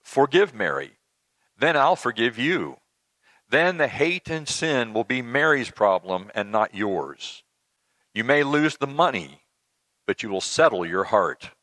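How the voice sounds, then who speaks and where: A man plays the Almighty as a patient, probing counsellor in a comic church reading. The voice is mid-pitched and expressive, questioning and gently admonishing in everyday conversational speech. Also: measured pace, no room sound. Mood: gently counselling.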